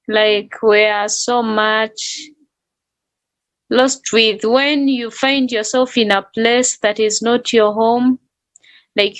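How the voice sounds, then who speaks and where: A woman's voice: on the high side, poor audio. A woman speaking as a panelist, making an earnest appeal.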